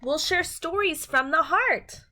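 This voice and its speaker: Female early-years teacher, high voice, sing-song storytime delivery for little kids, slightly noisy home recording with clicks. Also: unhurried, pushed and bright. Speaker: teacher reading a picture book aloud to young children. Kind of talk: read-aloud storytime for children.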